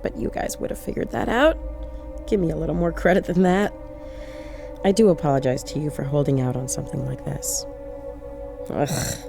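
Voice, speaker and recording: A woman's voice: low-pitched, fairly even intonation, normal speed, creaky, pauses often. A woman playing a haunted, sardonic lone driver, confiding her story as horror drama narration. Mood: confiding, defensive. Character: haunted, wry woman driving alone at night, narrating. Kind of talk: first-person audio horror drama narration. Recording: loud music bed under voice. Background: music and vehicle sounds.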